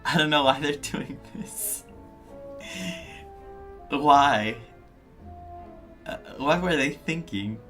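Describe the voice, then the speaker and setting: Male game streamer, unhurried, mid-pitched, thinking aloud casually, faint music behind. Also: sharp tone. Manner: musing, reacting aloud. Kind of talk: casual live game commentary. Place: indoors, live stream with game audio.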